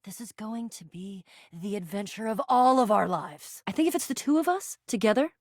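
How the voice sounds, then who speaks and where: A woman's voice: medium pitch, animated, normal speed, dry recording, studio quality, lightly acted. A woman voicing a plucky, earnest and slightly insecure adventurer in a video game, mixing dramatic quest-speak with stammering asides. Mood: determined, hopeful. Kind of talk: animated video game character acting.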